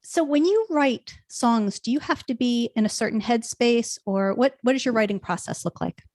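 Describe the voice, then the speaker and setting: A woman in her fifties hosting an interview, asking questions into a boom-arm mic in a home office and giving short encouraging replies. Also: expressive, normal speed, a little mellow, dry recording. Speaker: interviewer host. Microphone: studio mic on boom arm.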